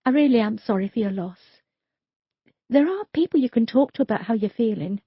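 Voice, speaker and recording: Polite nervous young policewoman, higher voice, husky and kinda dull sounding, as a woman reads her. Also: animated, fairly quick, dry recording. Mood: gentle. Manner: polite, hesitant, placating.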